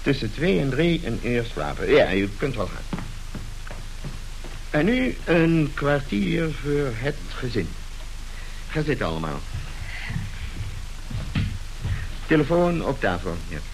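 A man's voice: mid-range voice, sing-song, unhurried, gravelly, long pauses, rough recording. An actor playing a pompous, newly rich tycoon and father in a radio play, curt and businesslike. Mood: brisk, curt. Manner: pompous, businesslike, clipped dictation. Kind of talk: radio drama acting. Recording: hissy old radio drama recording.

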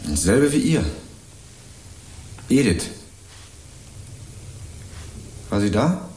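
An actor playing a cocky young student sleuth in a radio crime drama, wry, probing and accusatory. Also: male voice, on the low side, sing-song, slow pace, a little mellow. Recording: roomy echo, music underneath.

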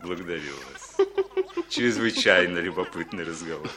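An actor playing a dry, ironic, polite gentleman journalist in a 1960s Soviet radio play. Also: deep voice, moderate pace, very noisy, lightly acted. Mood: wry, polite.

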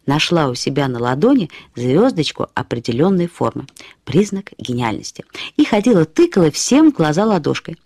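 Актриса играет рассказчицу, которая с иронией и задумчивостью вспоминает взбалмошную подругу, живо и разговорно, как в литературном радиоспектакле. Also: female voice, sits low, normal speed, husky, dry recording, full character voice. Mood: wry, musing. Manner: expressive, wry, conversational storytelling. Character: reflective woman recalling her flighty, dramatic female friend. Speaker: actress reading a short story as its narrator. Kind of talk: dramatized literary narration. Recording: studio radio play with faint music.

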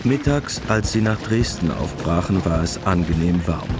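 A man narrating a scripted radio drama in a deadpan, matter-of-fact way. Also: deep voice, normal speed, noisy background.

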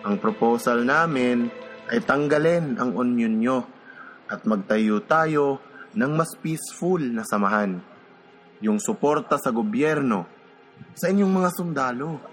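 A man's voice: mid-range voice, animated, unhurried, fairly bright tone, faint music behind. A man playing a stern, threatening military officer lecturing workers in a radio drama, with an authoritative, admonishing manner. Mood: firm, condescending.

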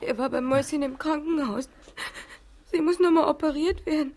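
A woman's voice: sits high, fairly quick, a little mellow. An actress playing a worried, earnest schoolgirl in a German radio play. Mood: grave, upset.

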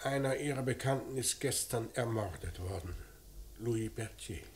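An actor playing a probing, businesslike police inspector in a mid-century radio crime drama, asking short, clipped questions. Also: male voice, medium pitch, moderate pace, close to an everyday voice. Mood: quiet, probing. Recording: noisy old radio drama recording.

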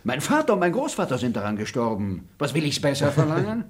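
A man's voice: fairly high pitch, wildly swooping pitch, a little fast. An actor playing a crude, scheming young male robber who fawns and laughs in an old radio play. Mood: boastful. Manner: crude, sly, fawning, laughs often. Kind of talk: 1960s radio play acting.

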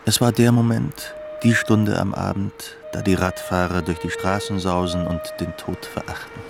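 A man's voice: sits low, flat delivery, normal speed, gravelly. A man narrating a radio play poetically, in solemn, incantatory phrases. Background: music with guitar.

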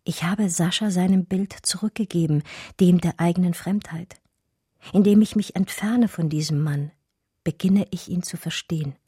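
A woman's voice: on the low side, moderate pace, husky, no echo, studio quality. An actress playing a pensive, grieving female art historian in an intimate, reflective radio play monologue. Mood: wistful. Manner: reflective, lyrical, hushed. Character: Natascha, pensive art historian grieving her lost lover.

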